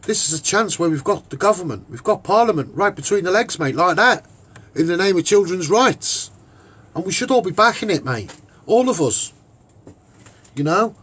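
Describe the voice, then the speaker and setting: This is a man with a strong-minded, unscripted way of speaking in a Scouse accent. His voice sits high for a man and has a husky rasp. He rambles emphatically through his argument, repeating phrases to drive them home. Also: lively intonation, moderate pace, a bit bright. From Liverpool, England. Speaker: online broadcaster and activist. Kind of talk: off-the-cuff opinionated monologue.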